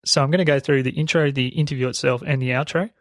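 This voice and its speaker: A man's voice: mid-range voice, steady tone, fast talker, fairly bright tone, no room sound. An Australian man with very sibilant speech, explaining things as a podcast host into a close broadcast microphone. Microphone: close broadcast dynamic microphone.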